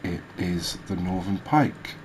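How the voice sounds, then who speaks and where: Low-voiced man, slow ASMR game commentary. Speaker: gaming video host. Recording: steady background noise.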